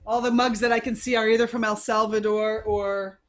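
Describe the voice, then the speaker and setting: A woman's voice: on the high side, level tone, brisk. Upbeat American woman leading a workshop. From USA. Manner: encouraging and playful. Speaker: teacher trainer leading the session. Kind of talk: warm, upbeat workshop facilitation. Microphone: video call.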